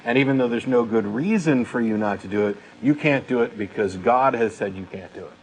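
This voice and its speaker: A man's voice: medium pitch, a little fast, low-quality recording. A man co-hosting a talk show, giving blunt opinions in casual, unscripted discussion.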